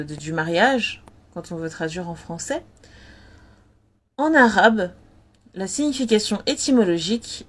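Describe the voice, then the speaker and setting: Earnest French-speaking woman giving advice. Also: mid-range voice. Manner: earnest, repetitive, lots of fillers; addresses listeners directly. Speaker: spiritual advice content creator. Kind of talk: casual talk.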